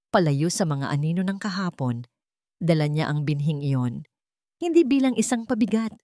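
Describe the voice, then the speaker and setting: A woman with a Filipino accent narrates a scripted dramatic story in a measured, sentimental way. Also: fairly low pitch, darkish tone, no echo, studio quality.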